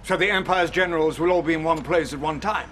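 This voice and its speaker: An actor playing a middle-aged American president who gives orders and sounds exasperated. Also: male voice, on the high side, quick pace, fairly bright tone, slight background noise, close to an everyday voice. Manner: commanding, exasperated.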